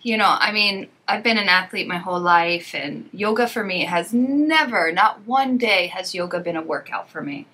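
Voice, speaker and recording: A woman's voice: medium pitch, lively intonation, normal speed, hardly any pauses, bright, forceful tone. A woman yoga teacher, a native English speaker, chatting as a podcast guest and telling long rambling stories full of "like" and "you know". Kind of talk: casual podcast conversation.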